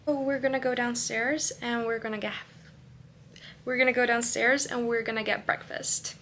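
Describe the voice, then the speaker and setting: A woman's voice: on the high side, fairly even intonation, moderate pace. A young woman chatting to the camera in a hotel room for a travel vlog, giggly and casual. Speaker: vlogger. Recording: loud background noise.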